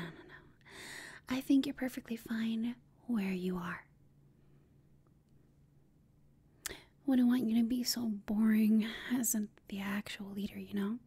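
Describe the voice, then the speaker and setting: A woman performs a flirtatious villainess in an intimate ASMR roleplay. Her voice is extremely breathy and mostly whispered, with a soft, mellow tone. She takes her time, teasing and taunting her enemy with smug amusement. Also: fairly high pitch, full character voice. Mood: playful taunting.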